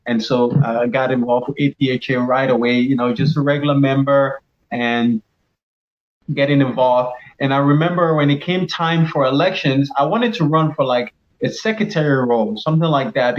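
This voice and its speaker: A man's voice: medium pitch, a little fast, lo-fi sound. A middle-aged pharmacist from Cameroon, a guest in an interview, talks earnestly about his path with many restarts. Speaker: pharmacist and leadership coach, guest. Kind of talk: casual interview answers.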